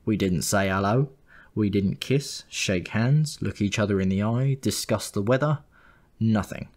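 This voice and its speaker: Low-voiced man narrating a wry story. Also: measured pace, a little mellow. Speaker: audiobook narrator. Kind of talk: first-person comic storytelling, scripted narration.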